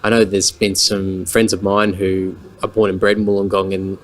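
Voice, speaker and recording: Low-voiced Australian guest, relaxed. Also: male voice, normal speed. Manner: relaxed storyteller, many fillers. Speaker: guest, band member. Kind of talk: casual chat. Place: pub with stools and round tables.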